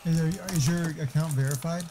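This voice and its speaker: A man with a fairly high voice shoots the breeze on a gaming voice chat. He is crude and jokey, swearing freely, with faint noise behind him. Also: steady tone, fairly quick. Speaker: streamer's gaming friend on voice chat. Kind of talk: casual chat.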